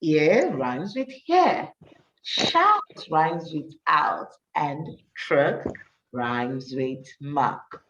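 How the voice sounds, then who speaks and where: A woman teacher reading a rhyming story for children, with an encouraging manner and deliberate, emphatic delivery. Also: sits low, very animated, far from the mic, muffled recording, noisy background. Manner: encouraging, praising, addressing children directly.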